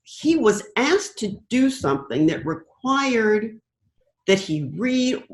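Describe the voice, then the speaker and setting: An older American woman telling anecdotes conversationally as an interview guest, thoughtful and pausing to find her words. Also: fairly high pitch, big pitch swings. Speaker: interview guest, broadcast producer. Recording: roomy, echoing sound.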